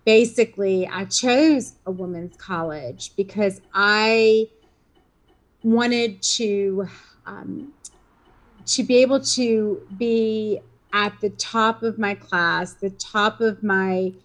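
A woman's voice: mid-range voice, slow, rough recording. Fifties woman, interview guest, rambling conversational delivery. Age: 50s. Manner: rambling, many fillers and restarts.

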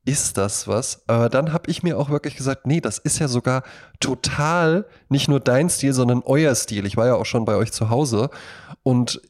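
A man's voice: mid-range voice, moderate pace, a little mellow. A man chatting casually as a podcast co-host, in rambling phrases with repeated words.

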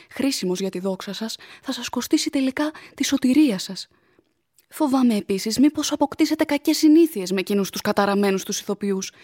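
A woman's voice: mid-range voice, dark tone, no echo, clean studio sound, close to an everyday voice. A woman playing a pious, anxious young fiancée in a radio drama, speaking earnestly and formally with tender reproach.

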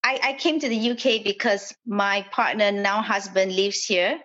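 A woman's voice: medium pitch, fairly even intonation, normal speed, fairly bright tone, lo-fi sound. A woman from Malaysia, a property developer, speaking as a podcast guest, hesitant with many fillers and restarts.

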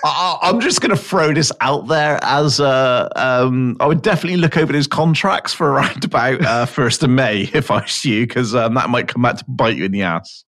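A man's voice: medium pitch, normal speed, hardly any pauses, a bit bright. A man co-hosting a casual podcast who teases his partner and laughs often. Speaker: podcast co-host.

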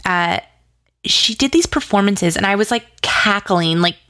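Woman, mid-range pitch, dry recording, interview guest, casual chat, enthusiastic, gushing, says "like" often. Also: expressive, measured pace, bright, forceful tone, no echo. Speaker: interview guest, audio drama writer and producer.

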